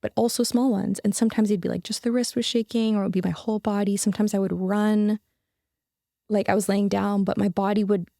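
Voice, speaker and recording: A woman's voice: mid-range voice, level tone, fairly quick, creaky, warm tone, no echo, studio quality. A young adult woman, a podcast guest, telling a personal story in an earnest, casual way with many fillers and restarts.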